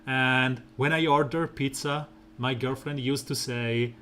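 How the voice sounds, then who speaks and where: A man streaming a mobile game, talking through team strategy in a relaxed, rambling way with lots of fillers and a soft, smooth voice. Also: a little hiss or hum. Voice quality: soft, smooth and deep when slow. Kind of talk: casual gameplay commentary.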